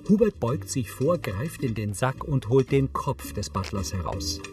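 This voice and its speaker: A man's voice: mid-range voice, very animated, measured pace, distant mic, loud music behind the voice. A man reading scripted audio description, narrating on-screen action in the present tense.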